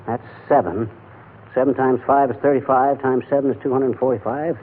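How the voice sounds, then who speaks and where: An actor playing a scheming, penny-pinching husband, folksy and wisecracking, in a 1940s radio comedy. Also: male voice, medium pitch, fairly even intonation, normal speed, lightly acted. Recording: tinny, faintly noisy 1940s radio recording.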